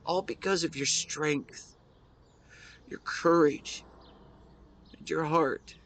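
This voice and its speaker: A man playing a grieving, devoted husband who speaks to his late wife in a tender, emotional, halting way, as an intimate audio roleplay monologue. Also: a little high, fairly bright tone. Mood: tender, bittersweet.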